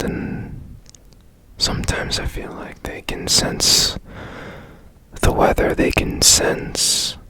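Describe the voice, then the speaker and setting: A man as a whispering, mysterious, slow-talking repairman. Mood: hushed, reassuring. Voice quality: breathy whisper. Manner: slow, hushed, polite, halting. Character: strange, secretive, curious repairman, gently reassuring. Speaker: ASMR roleplay performer. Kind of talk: whispered ASMR roleplay.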